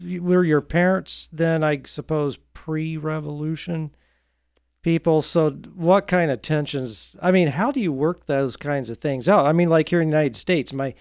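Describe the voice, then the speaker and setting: A man's voice: on the high side, normal speed, a little mellow, no echo, clean studio sound. A man hosting an interview podcast, chatty and friendly, asking questions and slipping in small jokes. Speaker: podcast host. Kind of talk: conversational interview.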